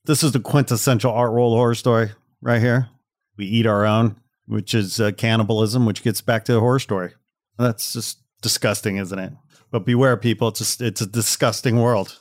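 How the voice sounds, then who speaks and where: A man's voice: fairly low pitch, dry recording, studio quality. A man hosting a podcast in a casual, wry, conversational way, speaking straight to the listeners. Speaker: podcast host.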